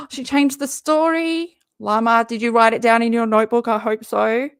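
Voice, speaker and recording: Hoarse, gravelly woman hosting a live reaction stream, jokey and chatty, dry close sound. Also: on the high side, fairly even intonation, moderate pace, dry recording. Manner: chatty, jokey, talks to her viewers. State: hoarse, often losing her voice. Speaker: YouTube live-stream host and comedian.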